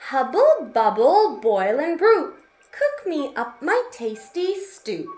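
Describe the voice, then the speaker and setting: A woman's voice: high-pitched, big pitch swings, takes it slowly, darkish tone, faint music behind, exaggerated voice. A woman voicing a scatterbrained little girl witch in a storybook, exclamatory and hesitant, with a fretful edge. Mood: proud, pondering.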